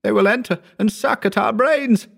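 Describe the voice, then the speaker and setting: A man voicing a hysterical, terrified man who gibbers and sobs in a shrill, quavering voice. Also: moderate pace, gravelly, fairly bright tone, full character voice. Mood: sobbing. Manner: gibbering, frantic.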